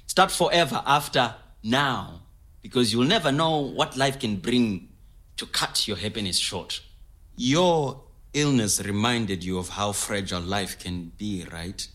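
A man's voice: on the low side, unhurried, fairly bright tone. An actor playing a sentimental, devoted immigrant man, recalling his life in a conversational way. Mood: fond, earnest. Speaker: actor in a scripted audio story. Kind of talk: naturalistic conversational storytelling.

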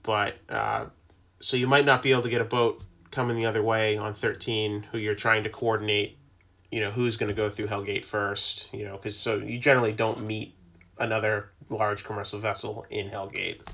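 A man explaining things as an interview guest in an informal, halting, conversational way. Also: fairly low pitch, flat delivery, gravelly, sharp tone, lo-fi sound. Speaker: interview guest, working tugboat mariner.

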